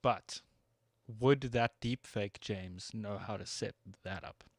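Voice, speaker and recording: A laid-back man co-hosting a sports show, easily amused by his partner's jokes. His voice sits on the low side. The recording is dry and close. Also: slow pace, dry recording. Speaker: co-host and producer. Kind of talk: casual radio chat.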